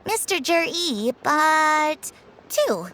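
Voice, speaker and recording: A woman's voice: sits high, very animated, very slow, fairly bright tone, slight background noise, heavily acted. A woman playing a chirpy young shopkeeper in a video game, polite, businesslike and salesy. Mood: brisk, polite.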